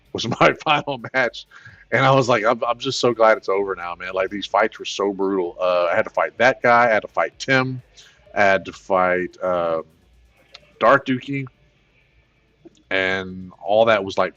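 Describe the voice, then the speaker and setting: A man with a plain baritone voice, hosting a casual gaming livestream, rambling with many fillers and excited bursts. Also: muffled recording. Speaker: gaming channel host.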